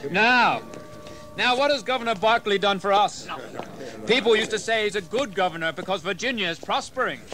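An actor playing a bold, ambitious colonial rebel leader in a history radio drama, speaking in a proud, formal, declamatory manner. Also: male voice, high-pitched, a little fast, crowd noise, natural voice. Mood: rousing. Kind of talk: dramatized history audio acting.

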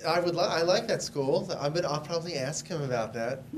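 Casual man in his thirties, halting. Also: a little high, expressive, slight background noise. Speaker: guest guitarist. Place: studio stage with blue backdrop. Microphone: handheld mic.

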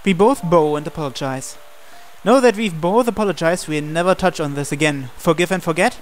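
A man's voice: on the high side, a little fast. A man reading visual novel dialogue and narration aloud as the male protagonist, with game music behind him. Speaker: gameplay reader voicing the male protagonist. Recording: music playing under the voice.

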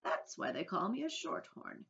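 A woman's voice: fairly high pitch, very animated, normal speed, a bit bright, voice pushed up. Storybook old male grasshopper, performed by a woman: avuncular, courtly, proud, swinging melody, dull recording. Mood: delighted, proud. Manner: genteel, theatrical, avuncular.

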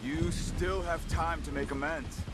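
A man playing a calm, principled outlaw scout, measured and earnest, in a western game. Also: a little high, very noisy, close to an everyday voice. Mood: grave, encouraging. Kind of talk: cinematic western game dialogue. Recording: dramatic music bed underneath.

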